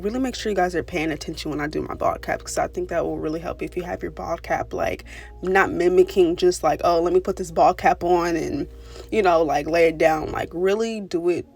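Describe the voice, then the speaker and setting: A young woman with a raspy voice, talking casually through a hair tutorial voice-over, full of "you know" and "pretty much". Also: lowish voice. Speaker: beauty vlogger. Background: soft background music.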